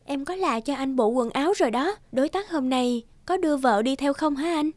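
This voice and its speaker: Woman voicing a high, eager young cartoon wife. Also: a little fast, darkish tone, no room sound, lightly acted. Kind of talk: animated cartoon dubbing.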